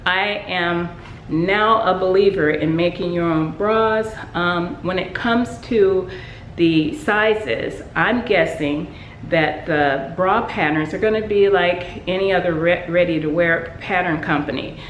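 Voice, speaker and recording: A woman's voice: lowish voice, slow, a little hiss or hum. Chatty woman in an echoey room. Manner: chatty, many fillers and restarts. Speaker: sewing vlogger. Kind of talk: casual show-and-tell.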